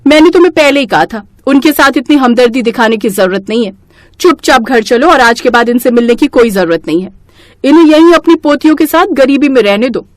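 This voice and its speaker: A woman's voice: sits high, lively intonation, brisk, no room sound. A woman voicing a heartless, bossy daughter-in-law in a curt, scolding storybook style. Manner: curt, commanding. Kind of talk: storybook character acting.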